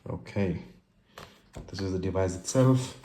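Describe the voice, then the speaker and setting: A man with an Indian accent narrating an unboxing casually and unscripted, in halting phrases broken by pauses. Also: sits low, dark tone. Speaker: tech and motorcycle vlogger. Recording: roomy echo with faint background noise.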